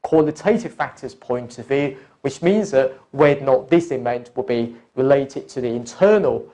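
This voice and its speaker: Mellow-voiced man teaching an accounting course. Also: medium pitch, expressive. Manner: deliberate, instructional, often addressing the learner. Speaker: accounting exam course tutor. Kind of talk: explanatory lecture, talking through examples.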